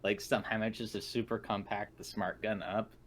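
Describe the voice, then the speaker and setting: A man's voice: fairly low pitch, level tone, brisk, a bit bright. A man playing a tabletop roleplaying game, casually bantering in character and describing what his character does.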